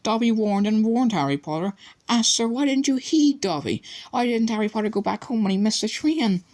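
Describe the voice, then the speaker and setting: Brief: a man playing a cringing little male house elf, high and miserable, for a storybook. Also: animated, moderate pace, darkish tone. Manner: humble, servile, refers to himself by name.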